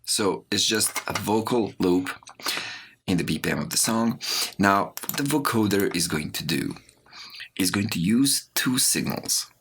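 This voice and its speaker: A man giving a software tutorial as an audio course instructor, explaining things step by step. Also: fairly low pitch, expressive, unhurried, background noise. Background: mouse clicks and computer keyboard typing.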